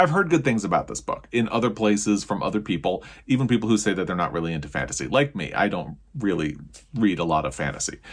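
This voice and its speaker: A man's voice: fairly low pitch, fairly bright tone. A man giving a casual, chatty book review as a video host, leaning on key words and adding self-qualifying asides.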